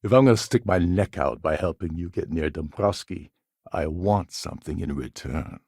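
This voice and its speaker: An actor playing a gruff, cynical, self-serving space pirate in a video game, with a gravelly voice and a wry, sardonic, world-weary manner. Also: male voice, low voice, lively intonation, moderate pace, a little mellow, no echo, clean studio sound, heavily acted. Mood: wry, bargaining. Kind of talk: game character dialogue lines.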